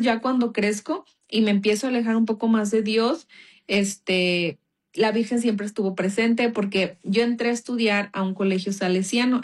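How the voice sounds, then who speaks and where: A woman from Mexico with a Mexican accent gives an informal personal testimony in a conversational, earnest, explanatory manner. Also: medium pitch. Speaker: speaker giving a religious testimony.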